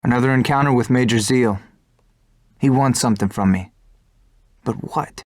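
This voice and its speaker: An actor playing an earnest, brooding young fighter pilot in a video game, with reflective, measured delivery. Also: male voice, mid-range voice, no room sound, studio quality, natural voice. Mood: pensive. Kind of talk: scripted cutscene narration and dialogue.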